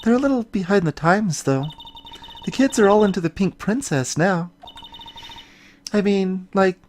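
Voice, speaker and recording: A woman's voice: mid-range voice, animated, measured pace, darkish tone, a little hiss or hum, close to an everyday voice. A woman voicing a perky, impulsive, childlike young female sidekick in a video game, playful and eager. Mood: playful, excited.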